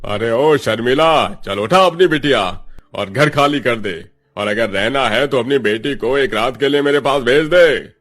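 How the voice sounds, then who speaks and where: A man's voice: medium pitch, unhurried, a bit bright, faint music behind, natural voice. A man voicing a lecherous, bullying landlord in a cartoon story, barking threats and demands. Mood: bullying, lewd. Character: menacing, lecherous male landlord, bullying and loud.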